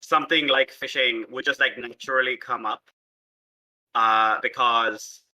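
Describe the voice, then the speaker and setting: A man's voice: medium pitch, steady tone, a little slow, sharp tone, rough recording. A man chatting casually with a group as a tabletop roleplay player, building a game world together.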